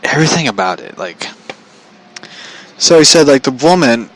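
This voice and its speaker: A man's voice: medium pitch, expressive, normal speed, rough recording. A man telling stories in a casual, rambling, foul-mouthed way as a podcast host, incredulous and repeating himself for emphasis.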